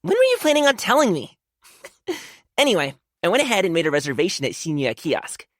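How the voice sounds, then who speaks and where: A man's voice: high-pitched, huge pitch swings, fairly quick, dry recording, clean studio sound. A man voicing an eager, good-natured young male wushu dancer and guard in a video game, talking in a friendly, slangy, boyish way. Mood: warm, excited. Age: young adult. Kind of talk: video game character voice lines.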